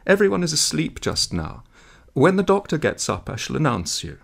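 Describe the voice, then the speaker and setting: Male reader as young chambermaid, storybook character, raised pitch, coy, evasive, babbling. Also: sing-song, moderate pace, gravelly, a bit bright, full character voice. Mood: flustered.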